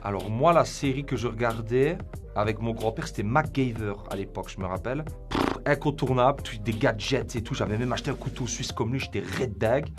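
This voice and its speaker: Man, late twenties, from Belgium, casual chat, mid-range pitch, music clearly behind. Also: a little fast. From Belgium, also Croatia. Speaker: interviewee in a couple's question game.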